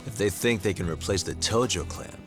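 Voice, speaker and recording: An actor voicing a level-headed private detective asking questions in a video game. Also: male voice, low-pitched, a little fast, lightly acted. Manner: calm, inquisitive. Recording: music playing behind. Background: music.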